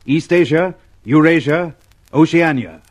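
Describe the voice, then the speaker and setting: A man's voice: fairly high pitch, a little slow. A man giving dramatic scripted narration for a radio play in a solemn, declamatory way. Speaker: narrator and announcer.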